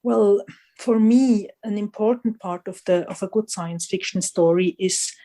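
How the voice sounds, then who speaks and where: A woman's voice: medium pitch, measured pace, warm tone. A woman interviewed as a guest editor, answering thoughtfully and hesitantly, often restarting her phrases.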